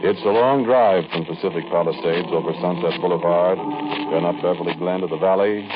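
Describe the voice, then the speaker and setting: Actor as a low-voiced, world-weary crook narrator. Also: male voice, loud music behind the voice, close to an everyday voice. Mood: brooding, confiding. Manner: conversational, halting, colloquial storytelling. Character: world-weary male bank robber recounting his crime. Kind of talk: 1940s radio drama first-person narration. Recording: muffled, noisy vintage radio recording.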